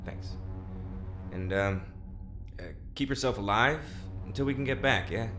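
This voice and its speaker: A man's voice: on the low side, unhurried, bright, forceful tone. A man playing a casual, awkward escape leader in an audio drama, speaking with stammering, conversational hesitancy. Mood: anxious, reassuring. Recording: echoing room, music behind.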